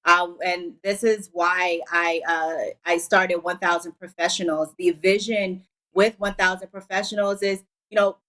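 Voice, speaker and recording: A woman from Liberia in her forties, a guest on a TV talk show, upbeat and laying out her advice in clear numbered tips. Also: fairly low pitch, fairly even intonation, unhurried, fairly bright tone. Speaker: entrepreneur guest.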